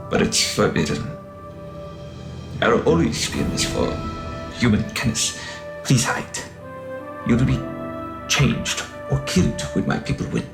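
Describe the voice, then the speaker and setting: A man's voice: medium pitch, sing-song, takes it slowly, creaky, long pauses, music in the background, heavily acted. A man playing an alien conscript soldier in an audio drama, blunt and wistful, speaking in literal, formal phrases with puzzled questions. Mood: wistful, resigned.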